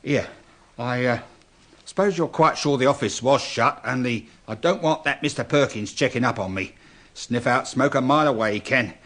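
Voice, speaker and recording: A man's voice: medium pitch, moderate pace, a bit bright, natural voice. A man acting in a radio drama as a chatty, grumbling storekeeper with a London Cockney accent, deferential and colloquial. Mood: chatty, furtive. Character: male storekeeper, chatty, grumbling, petty-bribe-taking working man.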